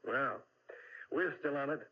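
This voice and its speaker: An actor playing a cynical, teasing police lieutenant in a mystery radio drama, wry and needling. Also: male voice, mid-range voice, lively intonation, unhurried. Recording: muffled old radio broadcast.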